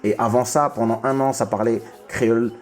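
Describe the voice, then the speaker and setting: A man's voice: medium pitch, fairly even intonation, fairly quick, darkish tone, faint music behind. A man from Congo hosting a casual chat, talkative and often stumbling and restarting his sentences. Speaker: podcast host.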